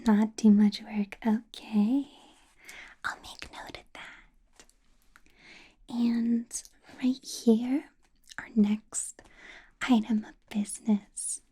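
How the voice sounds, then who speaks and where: A woman voicing a doting, possessive vampire boss in a soft, breathy ASMR whisper, intimate and coaxing with quiet menace. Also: fairly high pitch, fairly even intonation, very slow, pauses often, heavily acted. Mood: doting, concerned.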